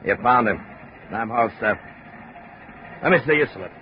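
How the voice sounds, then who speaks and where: An actor playing a bulky, surly chief officer of a freighter in a radio drama, curt and offhand. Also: male voice, mid-range voice, expressive, a little slow, natural voice. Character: bulky, gruff, lazy male ship's chief officer. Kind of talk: 1950s radio drama acting. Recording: muffled, noisy old radio recording.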